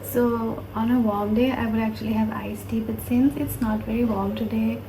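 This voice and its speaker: A woman's voice: on the high side, fairly even intonation, normal speed, background noise. A woman from Sri Lanka giving a casual, informal food vlog narration, explaining things step by step. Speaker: food vlogger.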